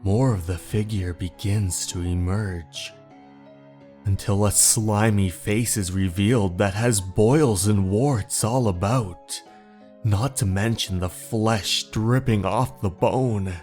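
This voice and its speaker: A man narrating a horror story in a measured, dramatic storytelling manner. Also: lowish voice, a little mellow. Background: faint music.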